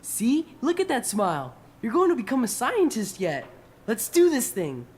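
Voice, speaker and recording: An actor playing an eager, goofy student science nerd in a video game. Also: male voice, very high-pitched, huge pitch swings, normal speed, a little mellow, slightly rough, exaggerated voice. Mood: excited, goofy. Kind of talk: playful video game acting.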